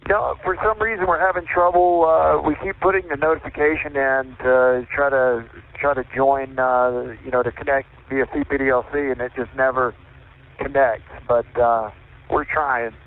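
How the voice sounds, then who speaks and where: A man's voice: mid-range voice, fairly even intonation, normal speed. A man speaking as an airline pilot over radio to air traffic control. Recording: tinny, slightly noisy cockpit radio link.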